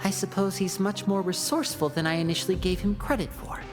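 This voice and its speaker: A woman's voice: mid-range voice, steady tone, quick pace, close to an everyday voice. A woman playing a composed, polite and calculating young male magician in a video game. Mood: composed, thoughtful. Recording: game music behind the voice.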